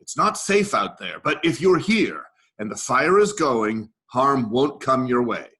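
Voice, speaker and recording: A man's voice: medium pitch, big pitch swings, a little slow, a bit bright. A man in a staged play reading, playing a macho G.I. Joe soldier doll, cocky and protective, with tough-guy endearments. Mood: protective, reassuring.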